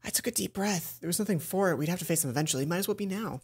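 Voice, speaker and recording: A young man narrating a game aloud with playful, expressive commentary, as a let's play host. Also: sits high, rapid-fire, husky. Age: young adult. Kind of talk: reading visual novel aloud with commentary.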